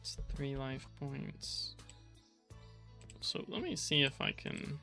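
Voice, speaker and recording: Monotone man with a gravelly edge. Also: mid-range voice, slow pace, soft background music. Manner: monotone, kept quiet; rambling with many restarts. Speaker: gaming channel host. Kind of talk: casual gameplay commentary. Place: apartment.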